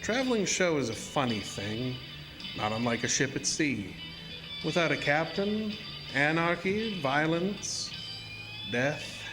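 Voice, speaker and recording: A sinister carnival spook-house keeper for a horror audio drama. He is suave and mock-polite, taunting in formal, theatrical phrases. The voice sits a little high, over loud music. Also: animated, slow, rough recording. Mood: suave, probing.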